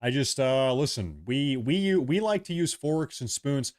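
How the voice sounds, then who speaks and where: A man's voice: medium pitch, animated, a little slow, a little mellow. A young man hosting a casual livestream, rambling and repeating himself as he talks to his viewers. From United States. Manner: rambling, repeats himself, reads chat aloud. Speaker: livestream host.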